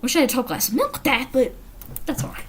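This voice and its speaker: A woman's voice: sits high, sing-song, fast. A woman with an American accent hosting a livestream, chatting informally and giggly as she reads out viewer comments. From the Carolinas, United States. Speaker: livestream host. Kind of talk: casual livestream chat, reading viewer comments aloud. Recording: noisy home livestream audio.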